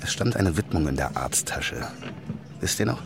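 A calm police detective in 1910s Berlin with a very deep bass voice. The man sounds dry and wryly understated, in radio drama style, with clear background noise of vehicles. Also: normal speed, full character voice. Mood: quietly intent.

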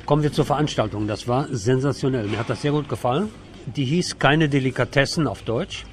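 A man's voice: mid-range voice, fairly quick, faint music behind. A man hosting a conversational radio interview. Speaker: radio host.

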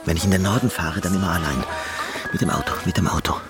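A man's voice: sits low, fast talker, music in the background, natural voice. An actor playing a reclusive, neurotic Canadian pianist in a radio drama, brooding and repeating his phrases compulsively. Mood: brooding, absorbed.

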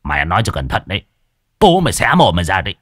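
A crude male thug acted by a male narrator in an audio story, vicious and menacing. He snarls like a street loan shark, with lively swings in pitch and music behind. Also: racing, breathy edge, exaggerated voice.

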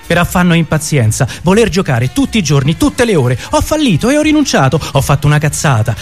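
A man performing a dramatic radio monologue in halting, theatrical fragments. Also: fairly high pitch. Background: music.

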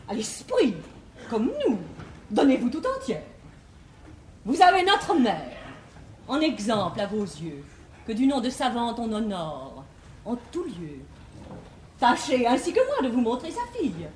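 An actress playing a haughty, prudish young bluestocking, declaiming classical stage comedy verse in a theatrical manner. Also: female voice, fairly high pitch, very animated, unhurried, darkish tone. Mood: haughty scorn. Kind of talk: 1950s French classical stage comedy acting. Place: large theatre stage with live audience. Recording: echoey theatre stage, faint hiss.

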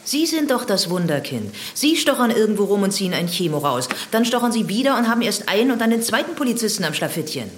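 A man's voice: high-pitched, sing-song, quick pace, few pauses, slight background noise. An actor playing a sardonic male police detective in a radio drama, probing with dry irony. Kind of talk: German radio drama dialogue.

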